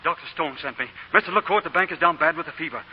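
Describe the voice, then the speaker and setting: An actor playing an urgent, deferential Southern messenger in a 1940s radio drama. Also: male voice, a little high, very fast, natural voice. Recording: muffled, echoing, noisy radio recording.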